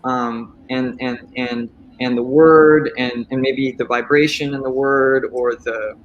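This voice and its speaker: A man with a middling voice moderates a panel and works his way through a question with many pauses and restarts. Faint ambient noise is heard behind him. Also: mid-range voice, steady tone, muffled recording. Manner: hesitant, many restarts, thoughtful. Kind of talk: panel discussion.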